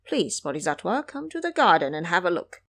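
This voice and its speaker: Courteous immortal elder voiced by a woman, ceremonious, lively intonation, for fantasy audio drama. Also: on the low side, brisk, heavily acted. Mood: polite. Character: polite, welcoming male elder immortals.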